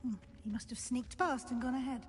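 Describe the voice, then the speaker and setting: Brisk woman professor in a reverberant hall, acted. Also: fairly high pitch, fairly even intonation, a little hiss or hum, lightly acted. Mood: explaining, brisk. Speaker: professor character in a video game. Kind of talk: scripted video game acting.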